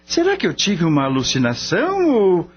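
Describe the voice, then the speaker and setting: Caring young male vet, very expressive actor. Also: sits high, normal speed. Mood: bewildered. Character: caring young veterinarian devoted to his dog. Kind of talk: radio drama acting. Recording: dull lo-fi radio drama with music.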